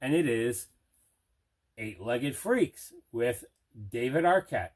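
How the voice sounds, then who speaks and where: An enthusiastic man with a mid-pitched voice presents items from his collection. He talks conversationally, in the casual manner of an unboxing video. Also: expressive, slow. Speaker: movie collector, video host.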